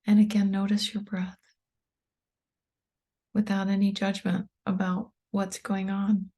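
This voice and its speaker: A woman leading a guided meditation in a soft, breathy voice, calm and soothing, with unhurried pauses. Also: mid-range voice. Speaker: meditation guide, tapping coach.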